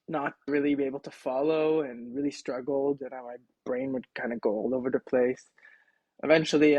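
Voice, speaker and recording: A young man from Israel, a podcast guest, telling his story in relaxed casual talk with wry asides and many fillers, recorded on his own mic at home. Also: medium pitch, dry recording, poor audio. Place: home setup, self-recording.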